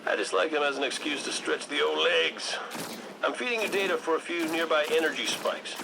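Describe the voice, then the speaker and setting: Older video game soldier, gravelly, wry, folksy briefings, clear battle noise behind. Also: male voice, fairly high pitch, fairly quick. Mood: wry, brisk. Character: gruff, wry older male soldier giving mission orders.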